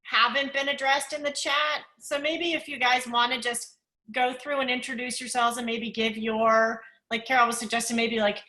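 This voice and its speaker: A woman's voice: on the high side, level tone, moderate pace, pushed and bright. A woman moderating an online panel, speaking hesitantly with many hedges and fillers. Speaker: webinar moderator.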